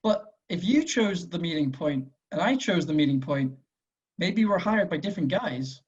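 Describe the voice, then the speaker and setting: A man's voice: fairly high pitch, animated, normal speed, breathy edge. A man playing a weary, scowling hitman in his forties at an amateur table read, terse, wary and testy. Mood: puzzled, subdued. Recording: dull lo-fi voice recording.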